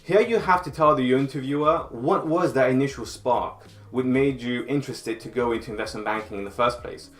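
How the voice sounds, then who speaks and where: A man's voice: mid-range voice, a little fast, few pauses. A man giving direct, advisory career coaching in a scripted instructional video. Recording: roomy sound with faint music.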